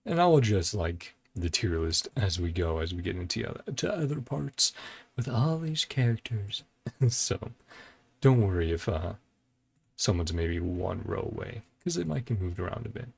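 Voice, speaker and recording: A man's voice: low-pitched, sing-song, normal speed, vocal fry, slightly rough, no room sound. A man giving casual reaction commentary as a video host, thinking aloud in a laid-back way with music behind him.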